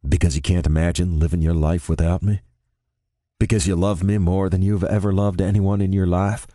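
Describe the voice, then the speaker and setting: A man voicing a smooth-talking Texan man, sad and resigned, with a low drawl. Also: fairly even intonation, moderate pace, mellow, dry recording, clean studio sound, lightly acted. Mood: defeated. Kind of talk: audiobook character voice.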